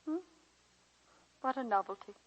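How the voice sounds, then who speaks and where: An actress playing an elderly woman college teacher, a reflective and wry great-aunt, in gentle, theatrical 1940s radio drama style. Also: a little high, animated, moderate pace, dull audio. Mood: dry, gentle. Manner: reminiscing, gentle, theatrical delivery. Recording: hissy 1940s radio broadcast recording.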